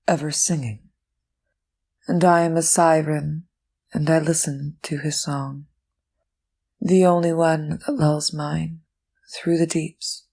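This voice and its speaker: Woman, poetry recital, low pitch, very slow, deliberate short phrases. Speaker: poetry reader.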